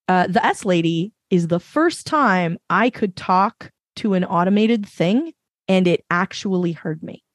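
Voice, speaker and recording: A woman with a fairly low voice, speaking emphatically and passionately as a panelist in a casual discussion. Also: dry recording, clean studio sound. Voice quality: reasonably low voice. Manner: emphatic, passionate, many fillers. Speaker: podcast panelist.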